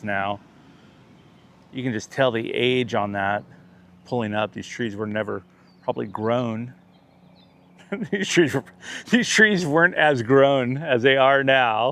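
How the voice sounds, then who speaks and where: Man, mid-pitched, chatty, jokey, outdoor neighborhood tour vlog. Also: fairly bright tone. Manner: chatty, jokey, self-correcting. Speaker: vlogger, tour guide. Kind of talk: casual touring commentary.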